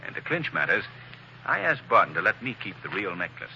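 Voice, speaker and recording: A mysterious crime-fighting hero in a vintage radio drama. His voice sits a little low yet sounds bright and cutting, and he speaks smoothly with quiet menace. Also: sing-song, brisk, lo-fi sound, a little hiss or hum, heavily acted. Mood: explaining. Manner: smooth, assured, softly ominous. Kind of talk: 1940s radio acting.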